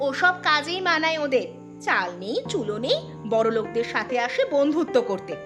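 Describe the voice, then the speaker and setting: A woman's voice: high voice, sing-song, moderate pace, over music. A woman voicing a nagging, disdainful village wife in a cartoon folk tale, scolding and exasperated in manner.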